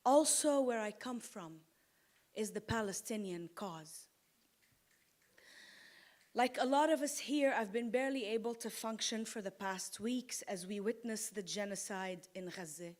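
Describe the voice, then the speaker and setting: A woman from Lebanon giving a slow, deliberate, impassioned award acceptance speech at a podium microphone on an auditorium stage. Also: mid-range voice, darkish tone. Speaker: award-winning poet.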